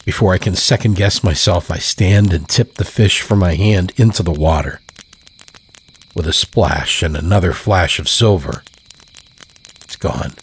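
A man narrating scripted horror fiction in a measured, deliberate way. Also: low-pitched, breathy edge. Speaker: storyteller, narrator.

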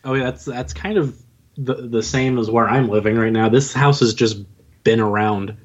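A man's voice: medium pitch, steady tone, normal speed. A man chatting casually as a podcast guest, recorded on a dull home-studio microphone. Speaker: podcast guest, audio drama writer. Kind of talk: casual chat. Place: home studio in an old house.

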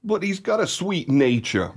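A man's voice: high voice, very animated, a little slow, breathy edge, full character voice. An actor playing a saloon owner who coaxes and haggles as he sells a dog in a radio play. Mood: coaxing. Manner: coaxing, haggling. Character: wheedling saloon owner selling his ugly dog.

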